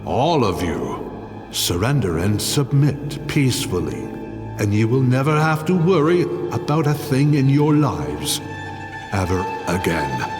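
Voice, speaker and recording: A man's voice: medium pitch, sing-song. A man playing an arrogant, villainous druid who commands thralls in a grandiose fantasy audio drama style. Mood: smug menace. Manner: grandiose, menacing, slow delivery. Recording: long hall reverb, music behind.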